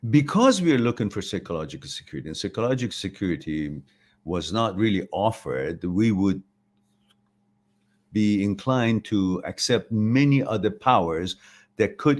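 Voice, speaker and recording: Very deep-voiced older Iranian man. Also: expressive, moderate pace. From Iran. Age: 60s. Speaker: host of a live talk, answering viewer questions. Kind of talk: informal philosophical talk.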